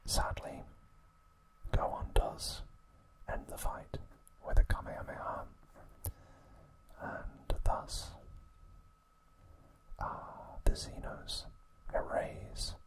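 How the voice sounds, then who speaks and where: A man hosting an ASMR recap, speaking in a soft, breathy, unvoiced whisper with a gentle delivery. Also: drawn-out words, long pauses, rough recording. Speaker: ASMR host.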